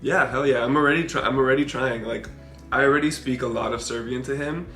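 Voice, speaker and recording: A man's voice: mid-range voice, steady tone, fast, a bit bright. A young man in a casual vlog chat with his partner, talking informally with lots of "like". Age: young adult. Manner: informal, peppered with "like". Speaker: parenting vlogger. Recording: roomy echo, faint music behind.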